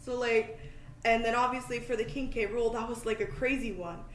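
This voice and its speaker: A woman with a fairly high voice hosts a casual podcast chat. She rambles along in a self-deprecating, chatty manner, recorded in an echoey room with slight background noise. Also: fairly even intonation, fairly quick.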